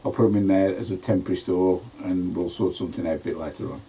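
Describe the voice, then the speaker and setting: Homely man in his fifties, low dark voice, chatty allotment vlogger, faint background noise. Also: steady tone, fast talker, rough recording. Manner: chatty, homely, plain-spoken. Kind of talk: casual gardening vlog narration. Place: inside a greenhouse on an allotment.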